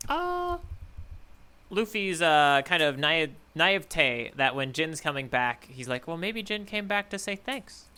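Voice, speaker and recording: A man's voice: fairly high pitch, very animated, unhurried, pushed and bright. A man co-hosting a casual comedic podcast, thinking aloud conversationally about manga. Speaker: podcast co-host.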